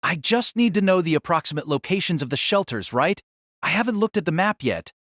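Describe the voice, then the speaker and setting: A woman's voice: fairly low pitch, very animated, brisk, no room sound, clean studio sound. A woman voicing a mild, easygoing teenage boy fighter with a casual, considerate manner.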